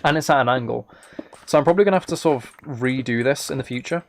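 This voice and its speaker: A man casually chatting on a live game stream, thinking aloud in a relaxed way, close to the microphone. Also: mid-range voice, fast, slight background noise. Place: indoors at a computer.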